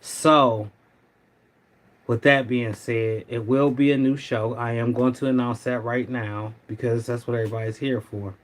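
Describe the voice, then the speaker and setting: A middle-aged man, hosting a casual livestream in a rambling, laid-back way, his voice a little hoarse. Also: mid-range voice, fairly even intonation, unhurried. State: slightly hoarse. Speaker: livestream host.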